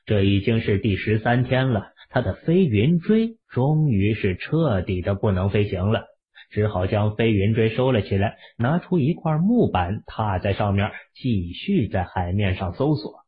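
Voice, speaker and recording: A man with a raspy voice narrating an audio drama in a steady storytelling manner. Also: lowish voice, unhurried, narrow, tinny audio.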